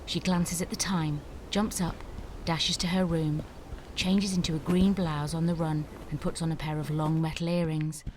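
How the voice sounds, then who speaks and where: A woman narrating the stage directions of a screenplay in a clear, measured way. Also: low-pitched, level tone, a little mellow, a little hiss or hum.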